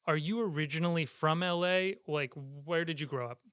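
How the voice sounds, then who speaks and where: A man's voice: fairly high pitch, brisk, fairly bright tone, clear, resonant tone. A young man hosting a podcast, upbeat and playful in casual interview chat. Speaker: podcast host. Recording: slightly dull lo-fi sound.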